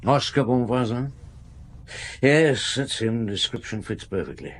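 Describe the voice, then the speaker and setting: A middle-aged actor playing a seasoned chief police inspector in a radio drama, steady and commanding with dry humour. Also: male voice, mid-range voice, a little slow, lightly acted. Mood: firm, kindly. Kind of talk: 1960s-70s radio drama acting.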